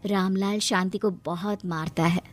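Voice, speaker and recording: A woman's voice: mid-range voice, fairly even intonation, a little slow. A woman reading scripted storybook narration for a cartoon moral tale. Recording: music behind the voice.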